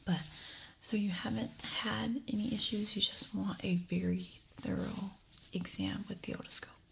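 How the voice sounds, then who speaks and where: A woman speaking in a soft, breathy whisper, reassuring, as an examiner in an ASMR medical role-play. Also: mid-range voice, fairly even intonation, moderate pace, a little hiss or hum, rough recording. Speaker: ASMR creator role-playing an ear examiner.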